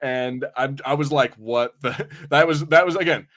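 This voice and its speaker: Informal male podcast host, medium pitch. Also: moderate pace, a bit bright. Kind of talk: casual film and TV review chat.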